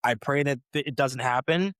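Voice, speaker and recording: A man's voice: mid-range voice, moderate pace, fairly bright tone, no echo, studio quality. A young American man, a professional footballer, chatting casually as a podcast guest, rambling with many restarts and fillers. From United States. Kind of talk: casual interview chat.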